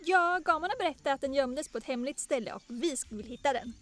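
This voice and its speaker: A woman's voice: high-pitched, lively intonation, a bit bright. A woman voicing a playful, headstrong young lion prince in a cartoon fan dub, cheeky and eager.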